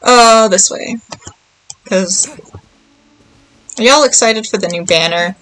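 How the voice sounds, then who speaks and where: A woman's voice: medium pitch, takes it slowly, a bit bright, no echo, faint music behind, poor audio. A woman chatting casually as she streams a game, rambling and thinking aloud. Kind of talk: casual livestream chat while playing.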